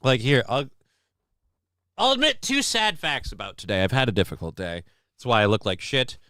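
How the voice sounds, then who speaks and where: Male stream host, middle pitch, smooth clear tone, crude jokey banter into a dry close mic. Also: very animated, moderate pace, bright, forceful tone, dry recording. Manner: profane, joking, reads viewer comments aloud; mock-grand war speech delivery. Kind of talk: casual live stream chat. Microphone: desk microphone.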